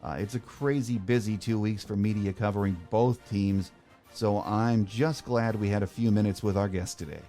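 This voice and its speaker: Low-voiced American man hosting a sports podcast. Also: steady tone, moderate pace, warm tone, soft background music. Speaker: podcast host. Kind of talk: scripted sports podcast introduction and interview.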